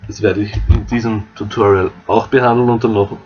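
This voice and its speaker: A man with an Austrian accent walking viewers through a game map editor, relaxed and explaining step by step. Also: on the low side, brisk, dull audio. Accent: Austrian German. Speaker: modding tutorial host. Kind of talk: screen-recorded software tutorial.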